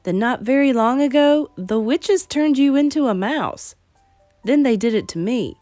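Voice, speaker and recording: A woman's voice: high-pitched, lively intonation, measured pace, mellow, dry recording, faint music behind, voice pushed up. A woman voicing an earnest, sensible young boy turned mouse, matter-of-fact and reassuring, in a storybook reading.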